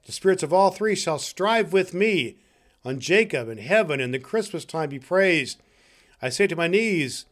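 A man acts out a reformed old miser in a storybook tale, giddy with joy. The voice is raised high and loud, exuberant and exclamatory, full of eager goodwill. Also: animated, moderate pace. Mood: earnest, resolved.

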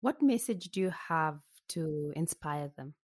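Woman interviewer, very low pitch, calm measured questions, dry close sound. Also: no room sound.

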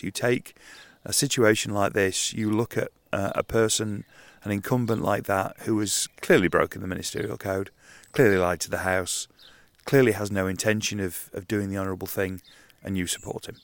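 Croaky, nasal man rambling outdoors. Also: fairly low pitch, fairly even intonation, normal speed, no room sound. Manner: rambling, conversational, frequent ums and restarts. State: croaky and nasal from hay fever. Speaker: podcast host. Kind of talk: casual solo podcast monologue. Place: outdoors in a garden.

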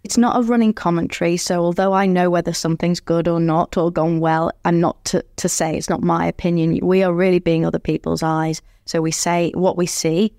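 Lively woman with a lowish voice. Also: a little fast, few pauses, no room sound, clean studio sound. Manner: lively and good-humoured, laughing often. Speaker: former ballerina and audio describer. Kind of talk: conversational storytelling.

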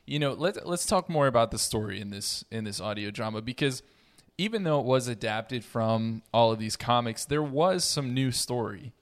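Guy hosting a podcast, relaxed roundtable chat, mid-range voice. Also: mid-range voice, normal speed. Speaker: podcast host. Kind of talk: casual roundtable podcast discussion.

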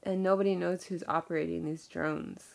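Husky-edged young woman with a head cold. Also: lowish voice, fairly even intonation, normal speed. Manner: chatty, laughing, filler-heavy. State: nasal from a cold. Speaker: podcast co-host. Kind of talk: casual chat.

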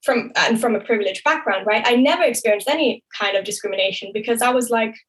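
A young woman with a somewhat high voice speaks freely as a podcast guest. She talks in a casual, chatty way, often tacking "right?" onto her points and laughing lightly as she goes. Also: poor audio. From Thailand. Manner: chatty, frequent "right?" tags and quick laughs. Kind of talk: casual interview talk.

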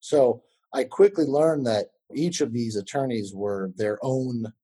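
A man in his thirties, an attorney giving a podcast interview, answering in a measured way with fillers and restarts. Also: mid-range voice, warm tone, breathy edge.

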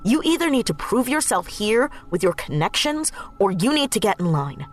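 A woman's voice: medium pitch, sing-song, moderate pace, soft background music, close to an everyday voice. A woman playing a blunt, plain-spoken young activist leader in a naturalistic audio drama. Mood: firm, confrontational.

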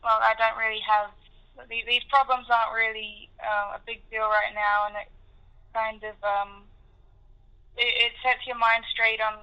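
Bright, clear-voiced woman on the phone. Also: a little high, flat delivery, a little slow. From grew up in Africa and Indonesia. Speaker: band musician being interviewed. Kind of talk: casual interview chat. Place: phone call. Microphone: telephone. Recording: dull telephone line.

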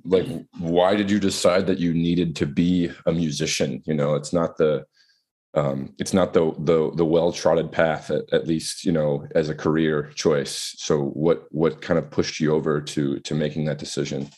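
Husky deep-voiced male podcast host. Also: little pitch movement, normal speed. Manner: warm, restarting questions with repeated words. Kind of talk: casual interview.

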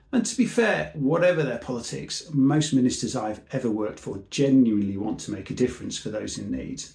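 A man who works as a civil servant, giving an earnest, measured seminar talk that trails off at the end of each phrase. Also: medium pitch, gravelly, dark tone, echoey room.

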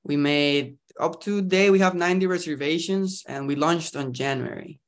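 A man's voice: fairly high pitch, moderate pace. A young man, chatting upbeat as a podcast guest. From Costa Rica. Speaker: guest, startup founder. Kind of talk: casual interview chat.

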